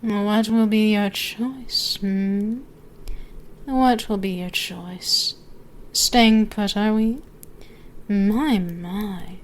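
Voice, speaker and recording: Slow, seductive dragoness, acted by a woman. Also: medium pitch, steady tone. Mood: commanding, seductive. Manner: slow, teasing, drawn-out, often dropping to whispers. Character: seductive, teasing, dominant ancient female dragon. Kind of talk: ASMR roleplay, speaking intimately to the listener.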